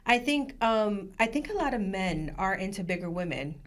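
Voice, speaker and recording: Laughing, animated woman guest. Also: mid-range voice, normal speed, a little hiss or hum. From Puerto Rican family, from New Jersey. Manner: animated storyteller, laughs often, acts out quotes. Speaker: podcast guest. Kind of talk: casual interview chat.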